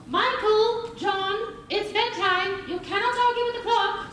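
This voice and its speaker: A woman's voice: extremely high pitch, fairly even intonation, a little slow, a bit bright. An actress playing a prim, strict but loving mother in a theatrical stage play, proper and admonishing. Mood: brisk, commanding. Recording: echoey stage recording, distant mic, faint noise.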